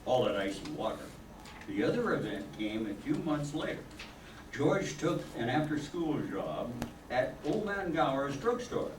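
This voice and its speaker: A man's voice: medium pitch, lively intonation, a little slow, lightly acted. An older man playing a kindly senior angel who narrates and gives orders in a radio play. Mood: storytelling. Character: kindly senior male angel, storytelling and in charge. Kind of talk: old-time radio play acting, narrating. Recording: echoey, distant, noisy stage recording.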